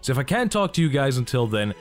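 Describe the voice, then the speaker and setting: Lively enthusiastic gaming vlogger. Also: male voice, mid-range voice, fast, soft background music. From Belgium. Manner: enthusiastic, joking, asks himself questions. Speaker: gaming YouTuber. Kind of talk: casual gaming vlog commentary.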